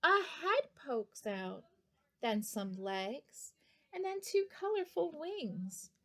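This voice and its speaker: A woman's voice: high voice, sing-song, slow pace, a bit bright. A woman reading a picture book aloud for young children, addressing her young listeners directly. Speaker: children's storybook reader.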